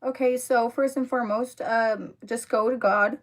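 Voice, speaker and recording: A young woman with a voice hoarse from a cold, chatting casually with viewers during a livestream and filling her talk with "like" and "um". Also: fairly high pitch, fairly even intonation, moderate pace. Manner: chatty, filler-heavy, talks to viewers in the comments. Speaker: livestream host. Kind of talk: casual livestream chat while doing makeup.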